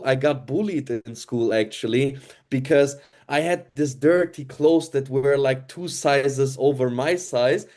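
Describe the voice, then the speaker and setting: Young man from Italy, webinar speaker, earnest, repeats words, mid-pitched. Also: a little mellow. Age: 20s. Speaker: webinar presenter. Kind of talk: casual online presentation.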